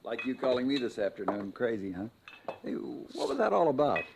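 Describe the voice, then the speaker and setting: A man's voice: medium pitch, very animated, fairly quick, vocal fry. An actor playing an easygoing but concerned American husband and father in an old-time radio drama. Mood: relaxed. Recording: noisy vintage radio recording.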